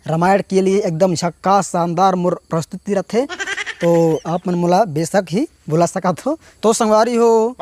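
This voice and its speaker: A male stand-up comedian with a Chhattisgarhi accent and a hoarse throat, chatting to viewers and laughing often between lines. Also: high-pitched, slight background noise. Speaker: stand-up comedian and channel host. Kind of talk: casual stand-up comedy monologue.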